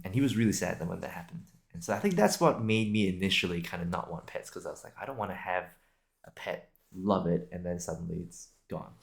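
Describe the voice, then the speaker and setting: A young man with a deep voice and a lot of vocal fry talks casually to his podcast audience. He improvises as he goes, hesitating and circling back as he thinks out loud. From Singapore and Australia. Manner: rambling, hesitant, thinking aloud. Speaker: video podcast host. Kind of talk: casual improvised solo chat.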